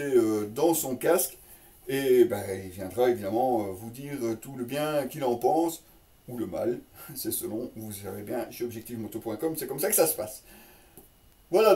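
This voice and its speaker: A man hosting a casual, unscripted product presentation, chatty and tongue-in-cheek, with a halting delivery that repeats words. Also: medium pitch, mellow, distant mic. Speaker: host of a motorcycle gear unboxing channel.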